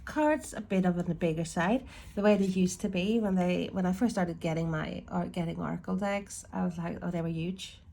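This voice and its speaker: A woman from Ireland with a Swedish-tinged accent, chatting casually in a slow, thoughtful, meandering way as she reviews tarot and oracle decks at home. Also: fairly low pitch, vocal fry, mellow, slight background noise. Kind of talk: casual deck review chat.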